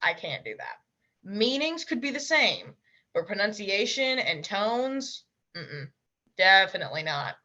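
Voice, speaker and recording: A young woman with a bright, forceful voice chats casually as an interview guest. She is blunt and emphatic, tossing in quick asides. The sound is dull and lo-fi, like a remote call. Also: medium pitch, very animated. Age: young adult. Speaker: interview guest, language learner.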